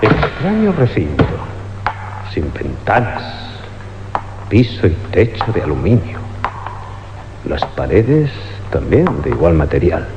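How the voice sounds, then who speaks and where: An actor playing a stern, skeptical police inspector in a formal, theatrical radio drama style. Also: male voice, sits low, noisy background, exaggerated voice. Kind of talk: old radio drama acting. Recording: dull radio recording under loud music.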